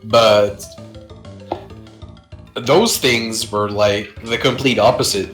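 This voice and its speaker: Casual young man with a bright voice. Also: on the low side, fairly even intonation, slow pace, reverberant, faint music behind. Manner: rambling, swearing, laughs often, shouts when reenacting. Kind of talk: casual gaming chat.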